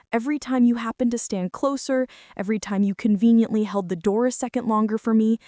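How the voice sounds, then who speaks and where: A bossy college girl with a secret crush, acted out by a woman, speaks in a slightly high, mellow voice, very close and dry. She is dramatic and flustered, teasing her listener as in an intimate girlfriend ASMR roleplay. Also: no echo, close to an everyday voice. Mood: teasing, indignant. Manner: dramatic, teasing, breathy whispers mixed with indignant exclamations.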